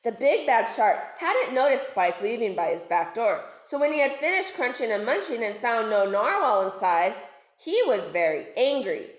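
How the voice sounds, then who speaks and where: A woman's voice: on the high side, sing-song, moderate pace. A woman reading a storybook aloud to children as a narrator. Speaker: storybook reader, teacher. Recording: echoey room.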